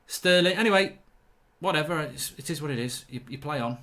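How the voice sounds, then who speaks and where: A man's voice: fairly high pitch, fairly quick, fairly bright tone. A man hosting a live football watchalong. He reacts to the play, reads out viewer messages and talks in a blunt, chatty way with mild swearing. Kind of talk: live match watchalong commentary reading viewer chat.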